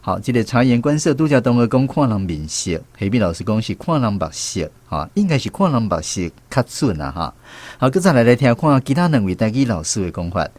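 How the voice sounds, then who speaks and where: A man's voice: mid-range voice, big pitch swings, brisk, hardly any pauses, darkish tone, dry recording. A man with a Taiwanese accent, speaking as a radio host leading a language quiz segment. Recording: music playing behind the voice. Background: instrumental music.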